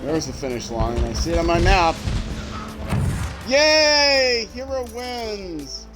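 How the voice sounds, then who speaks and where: A man's voice: high-pitched, takes it slowly. Rambling man streaming a racing game. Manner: rambling, trailing off and restarting; sudden excited outbursts while racing. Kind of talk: casual gameplay commentary. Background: loud game music and car engine sounds.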